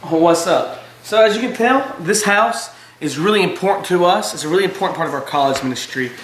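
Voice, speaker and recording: A man's voice: high-pitched, lively intonation, fairly quick, fairly bright tone, roomy sound, slight background noise, low-quality recording. A man, talking eagerly in a casual video submission. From Alabama. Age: 20s. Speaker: college student, small group leader.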